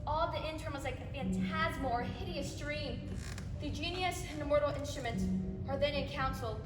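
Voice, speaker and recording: A high, solemn voice rings out in a reverberant hall with clear music behind it. It is a grave, principled Roman nobleman played by a young woman, declaiming stage Shakespeare. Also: brisk, close to an everyday voice. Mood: brooding. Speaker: student actor. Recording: echoey hall, far mic, music behind.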